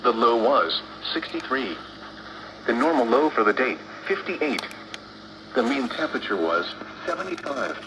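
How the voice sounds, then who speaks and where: Male weather-bulletin reader, mid-pitched, scripted delivery, noisy small-speaker radio playback. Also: big pitch swings, a little slow.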